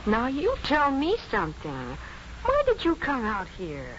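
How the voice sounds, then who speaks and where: A woman's voice: sits high, huge pitch swings, a little slow, fairly bright tone, heavily acted. An actress playing an earnest, headstrong young woman in love, pressing and emotional, in a muffled 1950s radio drama. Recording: muffled 1950s radio recording, faint noise.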